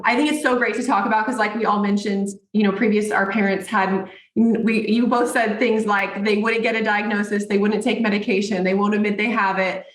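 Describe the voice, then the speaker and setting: A woman's voice: medium pitch, a bit bright, reverberant. A woman guest talking earnestly and at length in casual chat.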